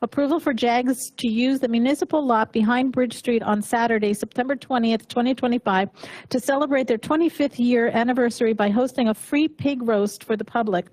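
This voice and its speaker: A woman's voice: on the high side, fairly quick, darkish tone, dry recording, poor audio. A woman chairing a council meeting, reading agenda items steadily, with hesitant asides.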